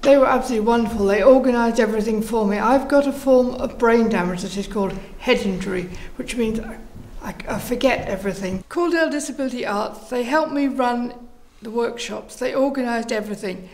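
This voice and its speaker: A woman speaks in a fairly high voice with a strong creak running through it. She answers an interviewer, explaining and telling stories as someone who leads a theatre group. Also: fairly quick, darkish tone, low-quality recording.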